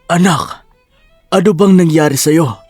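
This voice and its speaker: A woman voicing a gentle, worried elderly father with a halting, caring manner in an audio drama. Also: lowish voice, slightly rough, no room sound, faint music behind, voice pushed down.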